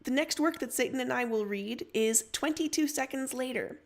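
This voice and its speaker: Woman streamer reading stories n chatting casually, voice a bit high. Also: normal speed. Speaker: livestream host and story reader. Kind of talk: story narration and casual livestream chat.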